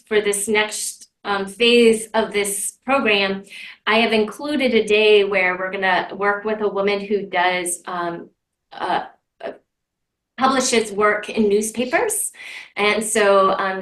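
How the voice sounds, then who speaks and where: A woman leading a program, speaking reflectively and casually in group conversation, with halting, searching pauses. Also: medium pitch, fairly bright tone. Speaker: program leader. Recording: echoey room, distant mic.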